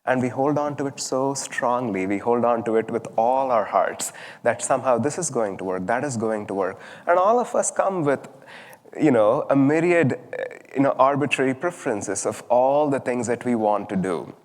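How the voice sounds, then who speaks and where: A man's voice: mid-range voice, lively intonation, moderate pace. A man from southern India, a Tamil speaker, giving a thoughtful, story-driven spiritual talk with frequent fillers. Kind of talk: reflective sermon talk. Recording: long hall reverb.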